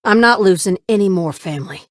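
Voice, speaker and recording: An actress plays a sassy young woman who flies and fixes ships in a sci-fi video game. She talks in casual, folksy banter at a medium pitch. The sound is close and dry, with no room on it. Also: lively intonation, a little fast, no echo, natural voice. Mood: resolute, frank. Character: spirited, warm, sassy young woman pilot and ship mechanic. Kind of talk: video game character dialogue.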